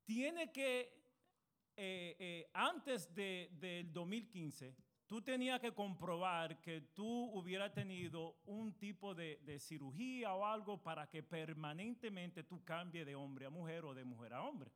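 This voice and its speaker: A man with a notably high speaking voice addresses a church audience as a pastor and lawyer. He talks earnestly, often pausing on fillers, and calls his listeners brothers. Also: animated, fairly bright tone. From United States. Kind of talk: church panel talk.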